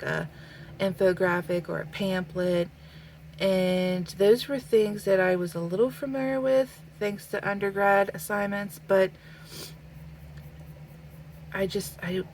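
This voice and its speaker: Woman vlogger, slow and reflective, slightly low voice, sniffly, faint hiss behind. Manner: slow, thoughtful, with many fillers. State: sniffly with a stuffy nose. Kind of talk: casual talk while drawing.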